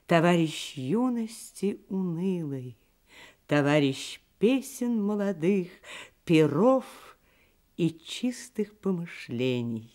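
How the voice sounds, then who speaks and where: Female radio narrator: literary script, deliberate phrasing, very varied intonation. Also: low voice, darkish tone. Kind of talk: scripted radio documentary narration with verse reading.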